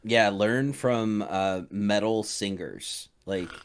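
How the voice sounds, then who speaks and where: A man's voice: on the low side, takes it slowly, clear, resonant tone. A man on a roundtable panel, chatting casually and offering practical tips. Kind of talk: casual chat, giving tips.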